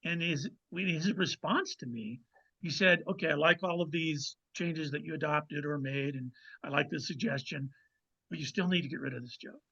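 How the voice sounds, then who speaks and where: Male author guest: raspy, fairly high voice, halting conversational anecdotes, somewhat lo-fi sound. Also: sharp tone. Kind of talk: casual interview anecdote. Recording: dull, slightly lo-fi microphone sound.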